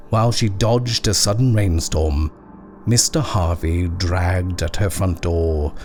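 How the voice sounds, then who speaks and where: A man reading a creepy short story as a measured, deliberate narrator with dramatic pauses. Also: sits low, a little mellow.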